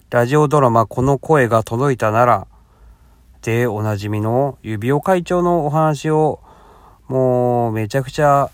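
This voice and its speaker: A middle-aged man hosting a casual solo podcast, talking to listeners hesitantly with frequent drawn-out filler sounds. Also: medium pitch, dry recording, studio quality. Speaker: podcast host.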